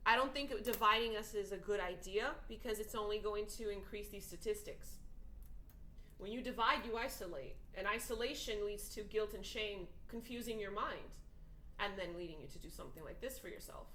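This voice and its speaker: A woman's voice: medium pitch, moderate pace, pushed and bright. A woman from Portugal talking earnestly in a casual conversation, building long emphatic arguments. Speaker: podcast host. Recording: echoey room with faint noise.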